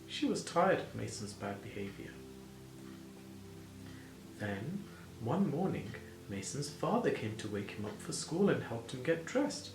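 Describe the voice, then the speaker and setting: Animated man reading a children's bedtime story. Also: mid-range voice, unhurried, long pauses. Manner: addressing small children, asking them questions. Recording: roomy, with prominent music behind.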